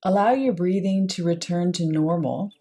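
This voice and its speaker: A woman leading a guided meditation, speaking in unhurried, measured short phrases with pauses between them. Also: lowish voice, dark tone, slightly rough. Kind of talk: guided meditation, slow soothing instruction.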